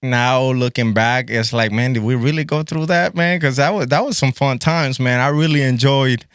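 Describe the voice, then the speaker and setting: A man in his forties from Guatemala co-hosts a relaxed podcast. His voice is smooth and resonant, sits at a mid pitch, and comes through dry and close to the mic. He talks easily, dropping "bro" and "man" into nearly every line. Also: moderate pace, no room sound. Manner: laid-back, says "bro" and "man" constantly. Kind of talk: casual podcast chat.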